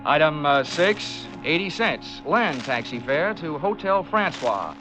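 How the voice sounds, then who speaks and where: An actor playing a wry, hard-boiled insurance investigator in a 1940s radio detective drama, crisp and sardonic. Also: male voice, fairly high pitch, a little slow, over music. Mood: wry narration. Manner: deadpan, sardonic, crisp wisecracks. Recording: dull-sounding 1940s radio recording.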